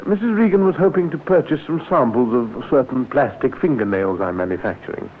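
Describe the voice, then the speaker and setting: An actor playing a courteous, concerned English gentleman with a polite, hesitant manner in a classic radio mystery. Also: mid-range voice, animated, brisk, lightly acted. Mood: genial, smooth. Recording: tinny, noisy old radio recording.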